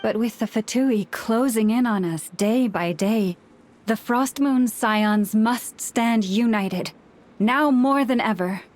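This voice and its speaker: A woman playing a solemn, gentle moon priestess in a video game, soft-spoken and measured, with a quiet burden. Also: fairly high pitch. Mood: resolute. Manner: measured, soft-spoken, breathy sighs and pauses.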